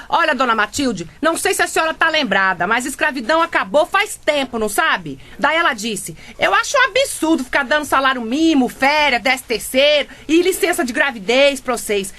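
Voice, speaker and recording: Uma atriz interpretando uma empregada doméstica brasileira atrevida e simples, com sotaque nordestino, que tropeça nas palavras difíceis em radionovela cômica. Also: female voice, high-pitched, a bit bright. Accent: Northeastern Brazilian. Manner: folksy, malapropisms, stumbles over big words. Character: feisty, unpolished Brazilian housemaid, outspoken and defensive. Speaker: actor in a radio drama. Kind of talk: comedic radio drama acting.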